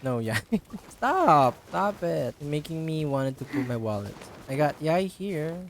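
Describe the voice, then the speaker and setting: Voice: mid-range voice, very animated, a little slow, a little hiss or hum. A game streamer chatting casually during a live stream, with playful, rambling asides. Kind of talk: casual live stream chat over gameplay.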